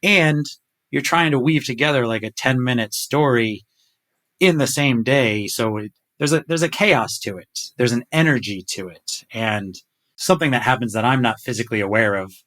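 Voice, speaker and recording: A man who works as a polar expedition diver, chatting casually with colleagues in an excitable, animated way. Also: normal speed. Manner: excitable, animated, jumps up in pitch when enthusiastic. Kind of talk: casual group chat.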